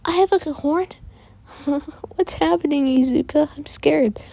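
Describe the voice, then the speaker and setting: A woman's voice: fairly high pitch, unhurried, dark tone, dry recording, pitched above the performer's own voice. A woman voicing a frightened, bewildered little girl in a story, with a timid, pleading manner. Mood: scared.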